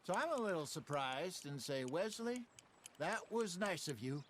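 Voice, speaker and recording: A man's voice: on the high side, lively intonation, moderate pace, a bit bright. An actor playing a wry older male outlaw in a western game, a folksy, teasing fisherman and storyteller. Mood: reminiscing. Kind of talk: cinematic western game acting. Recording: clear outdoor ambience noise behind.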